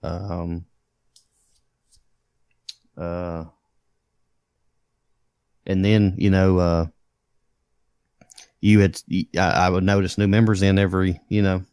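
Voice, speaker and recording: A man, a podcast guest, chatting casually in halting speech full of fillers and repeated words. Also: sits low, flat delivery, darkish tone, smooth, no room sound.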